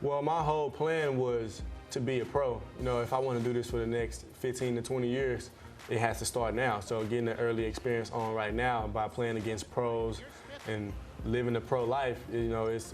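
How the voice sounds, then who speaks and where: A man's voice: mid-range voice, level tone, brisk, darkish tone. Slangy teenage male athlete, music behind. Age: teenager, seventeen. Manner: slangy, repeats phrases. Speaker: basketball player being interviewed. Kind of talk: casual interview chat.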